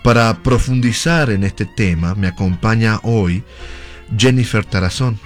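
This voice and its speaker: A man hosting a radio interview program in a studio, introducing his guest with a measured, formal announcer delivery. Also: on the low side, faint music behind. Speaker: radio journalist and host.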